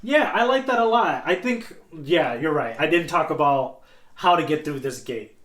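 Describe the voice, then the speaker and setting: A man's voice: fairly high pitch, lively intonation, normal speed, fairly bright tone. A man co-hosting a podcast, chatting casually in an earnest, conversational way. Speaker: podcast co-host. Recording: roomy, echoey sound.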